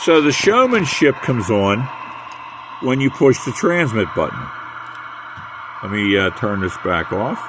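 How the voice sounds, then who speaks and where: An older American man giving a casual hands-on equipment demonstration in a halting, unhurried way. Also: mid-range voice, very animated, background noise. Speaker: radio hobbyist demonstrating equipment.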